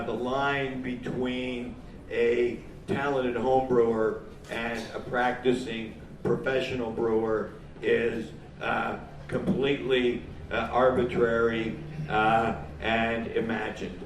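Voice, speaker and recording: A man from New England hosting a homebrew competition, announcing the winners in a halting, informal speech. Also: medium pitch. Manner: halting, with frequent pauses. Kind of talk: informal speech at a live event. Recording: echoey room, mic far off, faint noise.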